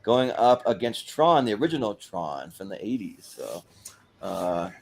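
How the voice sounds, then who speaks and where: A man's voice: on the low side, very animated, measured pace, vocal fry, a little mellow, a little hiss or hum. A man hosting a casual panel chat in an informal, chuckling way. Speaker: host of a movie tournament panel.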